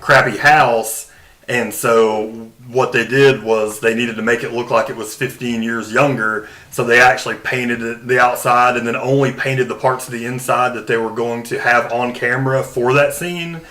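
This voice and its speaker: A man's voice: mid-range voice, fairly even intonation, moderate pace, bright, forceful tone. A man co-hosting a podcast, explaining things in a casual, conversational way. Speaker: podcast co-host.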